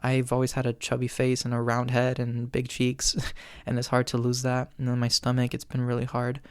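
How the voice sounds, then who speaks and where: A man's voice: medium pitch, completely flat delivery, clean tone, no room sound, studio quality. Earnest young man with tired cracking voice. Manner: chatty, earnest, lots of ums and quick laughs. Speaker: podcast host. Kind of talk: solo Q&A chat.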